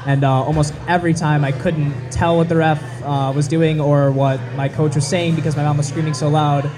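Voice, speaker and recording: A man's voice: on the high side, level tone, fairly quick, a crowd in the background. Hesitant young man, many fillers. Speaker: guest. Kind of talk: casual chat.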